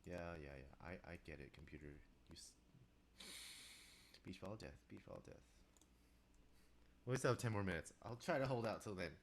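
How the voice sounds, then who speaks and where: A young man with a very low voice, casually talking to himself while composing on a live stream, rambling with restarts and asides. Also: moderate pace, pauses often, very noisy. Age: young adult. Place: small room indoors. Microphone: desk microphone, wearing headphones.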